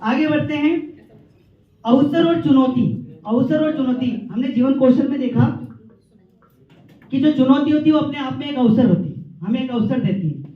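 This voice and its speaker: High-pitched man, echoing distant recording. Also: moderate pace, pauses often. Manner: playful mock-lecturing, repeats phrases for comic effect. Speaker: training participant performing a humorous recap. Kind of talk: stand-up style humorous speech to colleagues. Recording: distant, echoing hall recording, slightly muffled.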